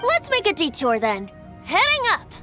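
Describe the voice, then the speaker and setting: A woman's voice: extremely high pitch, wildly swooping pitch, heavily acted. A woman voicing a carefree, teasing young male bard in a game cutscene, speaking lightly and playfully. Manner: teasing, lighthearted, slow and lilting. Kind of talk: video game cutscene voice acting. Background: game music.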